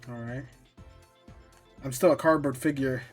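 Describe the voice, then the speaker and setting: A man's voice: medium pitch, fairly even intonation, a little slow, soft background music. A man talking informally and a bit ramblingly through a casual gameplay tutorial, often poking fun at himself. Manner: informal, rambling, self-deprecating. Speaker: tutorial video maker.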